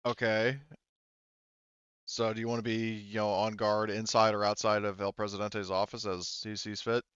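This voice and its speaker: Low, smooth-voiced man running a game. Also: level tone, normal speed, fairly bright tone, no echo, muffled recording. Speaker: game master. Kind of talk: narrating a tabletop roleplaying game.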